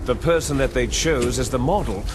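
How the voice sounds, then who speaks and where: An actor playing a bitter, gloating villainous clone soldier in a video game, with a menacing, taunting, theatrical delivery. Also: male voice, mid-range voice, normal speed, noisy background, natural voice. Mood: grim, revealing. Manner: menacing, taunting, grandiose monologuing. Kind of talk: dramatic video game cutscene acting.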